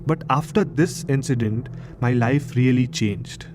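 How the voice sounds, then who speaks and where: A man's voice: mid-range voice, measured pace, over music. Indian-accented man hosting horror stories. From Maharashtra, India. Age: 30s. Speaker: horror show host and storyteller. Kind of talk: scripted horror show narration.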